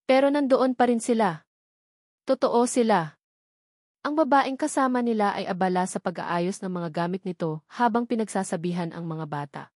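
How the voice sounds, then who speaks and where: A woman's voice: medium pitch, lively intonation, normal speed, darkish tone, no room sound, clean studio sound. A woman with a Filipino accent reads a dramatic romance story as scripted narration.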